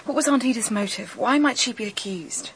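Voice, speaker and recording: An actress playing an intense, determined young woman in love in a radio drama, earnest and intimate, sometimes hushed. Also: a little high, animated, brisk, slight background noise. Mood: uneasy, searching.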